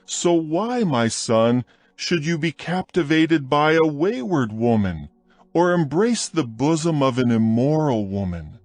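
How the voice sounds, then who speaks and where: A man reading scripture aloud in a slow, measured, solemn dramatized narration. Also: on the high side, dry recording. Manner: slow, measured, solemn recitation with lively rises on appeals. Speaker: Bible audio narrator.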